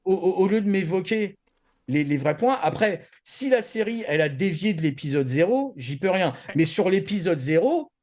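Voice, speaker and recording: A man's voice: high voice, lively intonation, moderate pace, darkish tone. A man co-hosting a casual anime chat, speaking informally and repeating himself for emphasis. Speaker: podcast co-host.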